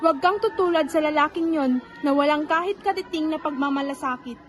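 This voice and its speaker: A woman's voice: sits high, fairly quick, close to an everyday voice. A woman playing a demanding mother who pushes her son to be perfect, nagging and insistent. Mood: stern. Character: strict demanding mother of a grown son, bitter.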